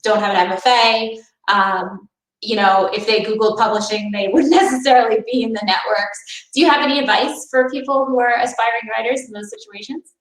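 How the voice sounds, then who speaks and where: A woman's voice: a little high, fairly even intonation, brisk. Brief: woman moderator, curious and friendly, echoey room, sits away from the mic. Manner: friendly, curious, many fillers. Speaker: interviewer host. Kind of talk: moderating a book talk. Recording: roomy, distant video call audio.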